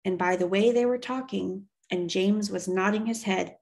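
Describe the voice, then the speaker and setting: A woman reading a children's novel aloud as an expressive storyteller. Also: medium pitch, moderate pace, creaky.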